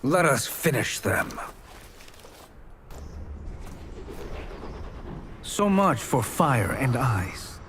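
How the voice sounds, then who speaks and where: A man's voice: medium pitch, big pitch swings, a bit bright, slight background noise. A man playing a scheming, sardonic sorcerer in a video game cutscene, proud and formal in manner. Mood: resolute. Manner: formal, measured, dryly sardonic.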